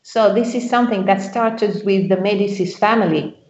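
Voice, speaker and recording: A woman working as a bank's culture director answers interview questions hesitantly, often pausing to find her words. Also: mid-range voice, darkish tone. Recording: roomy, dull lo-fi sound.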